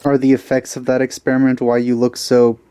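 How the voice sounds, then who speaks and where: A man giving casual, low-key, rambling let's play commentary on a video game. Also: monotone, fairly quick, warm tone, clear, resonant tone, dry recording. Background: faint game music.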